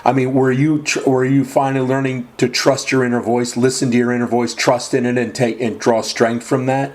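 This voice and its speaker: A man's voice: mid-range voice, level tone, fairly quick, a little mellow. A man hosting an interview show, asking formal questions and often repeating them. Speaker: podcast host.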